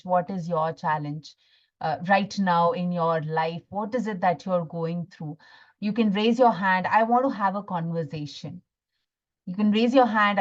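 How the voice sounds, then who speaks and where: Indian woman coach, muffled audio. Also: mid-range voice. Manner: repeats phrases for emphasis, seeks agreement with "right?". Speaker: workshop host and healing coach. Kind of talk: live online masterclass, motivational talk.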